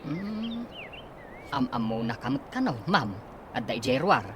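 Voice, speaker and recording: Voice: mid-range voice, big pitch swings, a little slow, background noise. A performer playing a stern male school official who questions a visitor, speaking in a radio drama. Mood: questioning.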